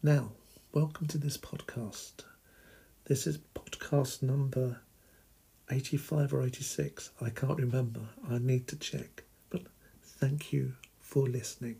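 Solo podcast host, man from England, middle-aged, slow deliberate delivery, gravelly husky voice. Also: mid-range voice, steady tone, dark tone.

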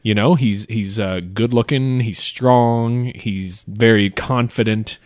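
A man's voice: on the low side, fairly even intonation, slow, dry recording, clean studio sound. A man co-hosting a podcast, talking through a classic story in a casual, analytical way, full of fillers and restarts. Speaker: podcast co-host. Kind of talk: casual literary discussion.

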